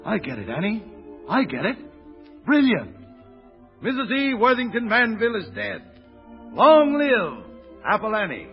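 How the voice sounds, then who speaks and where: A man's voice: high voice, big pitch swings, unhurried, pauses often, full character voice. A man acting a genial, florid old-time con man with a grandiose manner in 1930s radio drama style. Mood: grand, delighted. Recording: muffled old radio broadcast, music behind.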